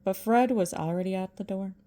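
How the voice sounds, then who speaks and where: A woman's voice: mid-range voice, fairly quick, warm tone. An older woman reading a children's storybook aloud as a storyteller.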